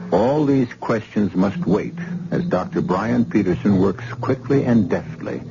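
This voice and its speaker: A male announcer giving a dramatic, measured opening narration full of rhetorical questions. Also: low-pitched, noisy background. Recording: muffled old radio recording.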